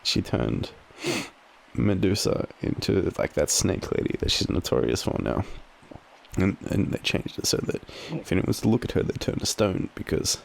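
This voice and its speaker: A young Australian man in a drowsy, halting, casual boyfriend roleplay, murmuring softly as he rambles through a story with slangy swearing. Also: low-pitched, very animated, creaky, poor audio. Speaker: audio roleplay performer playing a boyfriend. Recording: faint background ambient noise.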